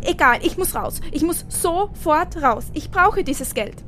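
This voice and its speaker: High-voiced excitable young woman, acted. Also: a little slow, soft background music, heavily acted. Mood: frantic. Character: excitable young female dreamer with a guitar, kind. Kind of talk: amateur audio drama acting.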